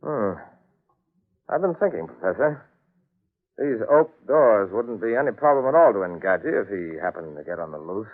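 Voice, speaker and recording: A man's voice: lowish voice, very animated, normal speed. A man playing an edgy, hot-headed explorer husband in an old-time radio drama, dramatic and clipped. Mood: courteous, uneasy. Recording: narrow, lo-fi old radio recording.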